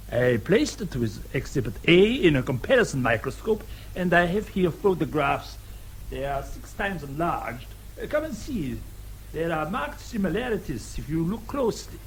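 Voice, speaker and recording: A man's voice: a little high, slight background noise, poor audio. An actor playing a precise, pedantic forensic expert in a radio drama, formal and didactic as he gives evidence.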